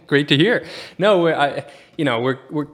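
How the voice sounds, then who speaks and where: A man's voice: on the high side, slow, fairly bright tone. A man raised in Sweden, a podcast guest talking casually and at length, with many fillers and restarts.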